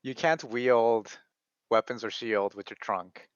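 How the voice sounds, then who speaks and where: A man's voice: mid-range voice, slow, clean tone, no echo. Teasing man running the game, lo-fi. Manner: teasing, checks rules. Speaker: dungeon master. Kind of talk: casual tabletop game chat. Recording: dull lo-fi sound.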